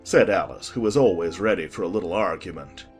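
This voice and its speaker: A man's voice: deep voice, lively intonation, brisk, faint music behind. A man reading scripted storybook narration expressively.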